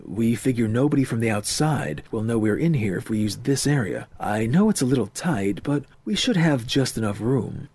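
A man's voice: mid-range voice, animated, moderate pace, hardly any pauses, darkish tone, slight background noise, lightly acted. A man doing a nervous earnest young guy. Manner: anxious, pleading, stammering.

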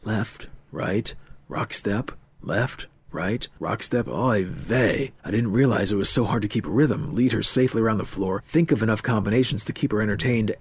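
A man voicing an earnest, restless twenty-year-old in an audiobook, low-key and a little defensive. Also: fairly quick, hardly any pauses, breathy edge. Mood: nervous.

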